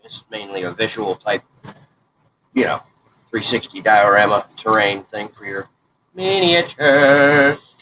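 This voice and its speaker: A man's voice: on the low side, slow pace, pauses often, pushed and bright, slight background noise, rough recording. A congested man in his forties, chatting in a folksy, rambling way while he works on a crafting project. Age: 40s. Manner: folksy, rambling, self-correcting. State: congested, stuffed-up nose. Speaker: hobby crafting vlogger.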